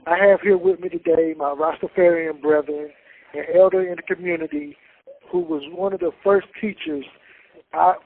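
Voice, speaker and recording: A man's voice: high voice, a little hiss or hum. A man hosting an interview show from inside a prison, speaking in a formal, solemn way with activist phrasing. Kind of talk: scripted intro then interviewing. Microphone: prison phone call. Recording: tinny phone line.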